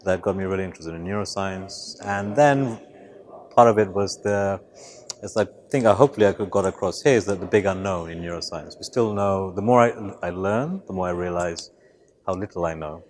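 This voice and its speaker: A man's voice: low voice, fairly quick, a little hiss or hum. A man who was born in Malaysia and raised in the UK, a biology professor answering interview questions thoughtfully, with many fillers and restarts. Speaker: biology professor and lab head.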